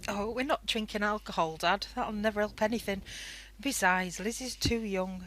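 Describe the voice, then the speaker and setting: A woman's voice: mid-range voice, normal speed, fairly bright tone, a little hiss or hum, lightly acted. A woman playing an anxious, wry mother with a British accent in a wartime radio play. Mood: disapproving. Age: adult.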